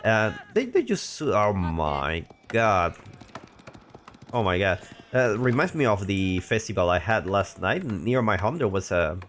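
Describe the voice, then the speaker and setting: A man's voice: on the low side, very animated, measured pace, a bit bright, slight background noise. A man casually commentating a strategy game match, a little sick and talking in a rambling, chatty way. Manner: rambling, chatty, frequent restarts and asides. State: a little sick, voice deeper than usual. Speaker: game caster.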